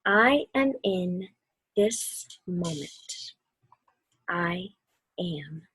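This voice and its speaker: Breathy, soothing woman guiding mindfulness. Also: fairly low pitch, lively intonation, no room sound, poor audio. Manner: soothing, unhurried, softly spoken. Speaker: mindfulness guide reading a children's book aloud. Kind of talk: gentle guided meditation and read-aloud.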